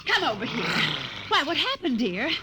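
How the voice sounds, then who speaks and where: An actress playing an indulgent, soothing mother in a 1950s radio drama, gentle and polite. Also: sits high, sing-song, normal speed. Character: indulgent, soothing mother and army officer's wife. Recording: faintly noisy 1950s radio recording.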